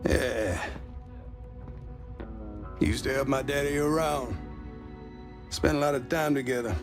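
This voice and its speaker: A man acting a middle-aged Southern US shark hunter in a game, gruff and boastful. He takes his time, drawling folksy, rambling remarks as if to a documentary crew, with loud music behind him. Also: medium pitch, a little slow, natural voice. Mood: brooding. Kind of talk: mock reality-documentary interview, game acting.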